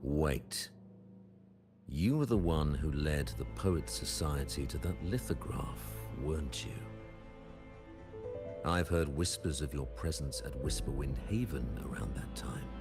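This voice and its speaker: A man acting a stern, imperious lord in a video game, grave, commanding and guarded. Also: a bass voice. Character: stern, imperious male lord obsessed with order. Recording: voice over game music.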